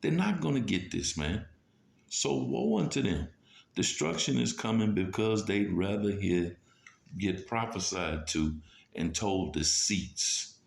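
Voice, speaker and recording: Gravelly, low-voiced man preaching scornfully. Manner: blunt, scornful, profane. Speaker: street-style religious preacher. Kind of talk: informal scripture teaching with mocking rants. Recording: roomy, echoing sound.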